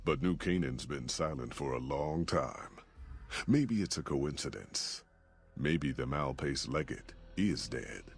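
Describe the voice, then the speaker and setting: An older man with an American Western drawl, acting a gruff, plainspoken old caravan leader in a video game. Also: a bass voice, lively intonation, takes it slowly, exaggerated voice. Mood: grave storytelling. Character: gruff folksy old caravan leader, weathered male storyteller. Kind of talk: video game character dialogue and narration.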